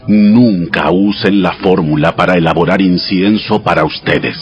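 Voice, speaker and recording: An actor playing God as a solemn, commanding deity giving sacred laws in a dramatized audio Bible, in a measured manner. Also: male voice, low voice, highs cut off. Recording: voice over clear background music.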